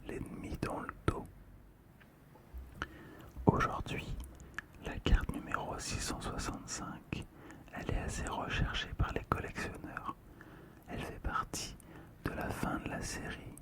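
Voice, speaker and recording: An ASMR host speaking in a breathy, unvoiced whisper, gently and soothingly, close to the microphone. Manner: slow, gentle, soothing delivery. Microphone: close ASMR microphone, monitored on headphones.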